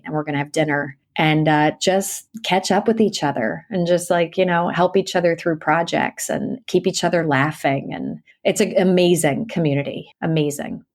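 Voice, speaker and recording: A middle-aged woman, a guest and audiobook narrator, chatting casually and animatedly in an interview, telling stories with lively swings and laughs. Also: low voice, gravelly.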